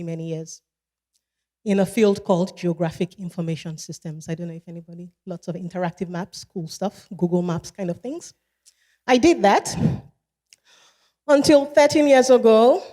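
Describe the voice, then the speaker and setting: Nigerian woman in her 30s doing a keynote, slow and measured, repeats stuff for emphasis, big hall echo. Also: medium pitch, warm tone. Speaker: keynote speaker. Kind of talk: motivational keynote speech. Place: large event hall. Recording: long hall reverb.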